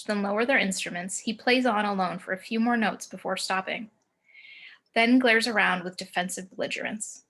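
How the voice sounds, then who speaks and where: A woman with a mid-pitched voice narrates stage directions from a screenplay. She reads descriptively in short, deliberate phrases over a video call. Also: a bit bright. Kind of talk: scripted screenplay narration, table read.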